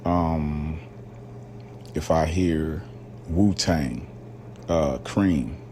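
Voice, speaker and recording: A man's voice: a bass voice, very slow, a little mellow, slight background noise. A man from rural Alabama hosting a casual solo podcast, talking loosely with lots of fillers and slang. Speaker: podcast host.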